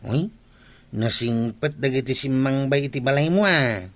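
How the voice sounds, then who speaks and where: A man's voice: mid-range voice, normal speed, fairly bright tone, natural voice. An actor playing a gossipy village man in an Ilocano radio comedy drama, with an Ilocano accent. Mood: curious, chatty.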